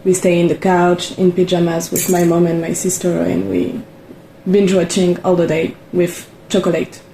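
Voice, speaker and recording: A woman's voice: fairly low pitch, flat delivery, moderate pace, dark tone. A woman in her early twenties with a French accent, answering casually in an interview. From France. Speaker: interviewed volunteer. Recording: echoey room, faint ambient noise.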